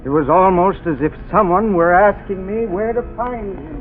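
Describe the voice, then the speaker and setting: A man's voice: high-pitched, moderate pace, lightly acted. An actor playing an ordinary male hospital worker in a 1930s radio drama, casual and puzzled. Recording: tinny old 1930s radio recording with music.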